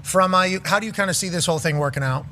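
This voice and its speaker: A man's voice: high-pitched, fast talker, bright, forceful tone, a little hiss or hum. A man hosting a sports talk show, putting questions to a guest in a casual way.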